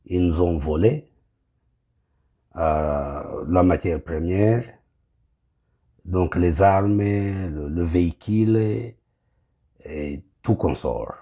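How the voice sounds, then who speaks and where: Very deep, halting pastor. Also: male voice, warm tone, lo-fi sound. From Congo. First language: Kinyamulenge. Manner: halting, searching for words. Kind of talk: direct-to-camera address. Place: small indoor room.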